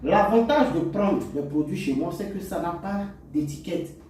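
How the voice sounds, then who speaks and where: Man in a very echoey room, mellow voice. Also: sits high, expressive, normal speed, slight background noise. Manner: didactic, repeats and enumerates points. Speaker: cosmetics formulator and seller presenting to resellers. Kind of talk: instructional product talk to camera. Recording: very echoey room, distant microphone.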